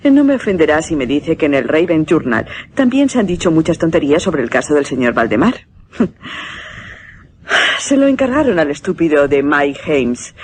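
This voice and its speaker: An actress with a Castilian accent playing a young, self-assured, inquisitive newspaper journalist, polite and playful, in a radio suspense play. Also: female voice, low voice, darkish tone, a little hiss or hum. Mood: self-assured, amused. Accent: Castilian Spanish.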